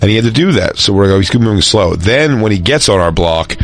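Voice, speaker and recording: Low-voiced male comic storyteller. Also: expressive, fast. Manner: conversational, profane, slangy. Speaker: comedy podcast host.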